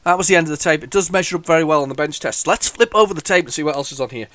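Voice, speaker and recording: A man's voice: on the high side, very fast, slight background noise. Chatty man with a sniffly cold. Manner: rambling, chatty, lots of restarts and sudden excited outbursts. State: has a head cold, sniffly. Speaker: retro gaming video host. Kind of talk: casual commentary while loading old tapes.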